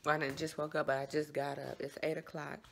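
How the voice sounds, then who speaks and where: An American woman with a scratchy, raspy morning voice, chatting casually to her vlog viewers in a folksy, repetitive way. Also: low-pitched, flat delivery, fast. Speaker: vlogger.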